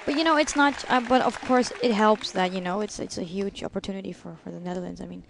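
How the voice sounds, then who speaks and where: Female co-commentator, gravelly fry, chatty and giggly, faint arena crowd and noise. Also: mid-range voice, fast talker. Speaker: bowling commentator. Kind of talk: live sports commentary.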